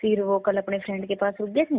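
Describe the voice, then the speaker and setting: A woman's voice: mid-range voice, steady tone, quick pace. A young woman chats casually on a phone call, often checking for agreement. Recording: narrowband phone line, faint noise.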